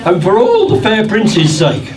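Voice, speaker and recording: A man's voice: high voice, huge pitch swings, measured pace, full character voice. A man playing a vain, bossy, man-hungry ugly stepsister in an amateur rhyming pantomime. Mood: man-hungry, excited. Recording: distant roomy stage recording with noisy audience.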